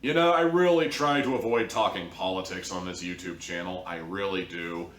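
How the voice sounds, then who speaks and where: A man's voice: low-pitched, moderate pace, a bit bright. A man giving an informal, ranting critical review in an exasperated, theatrically dramatic way, drawing out words for emphasis. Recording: echoey room, distant mic, faint noise.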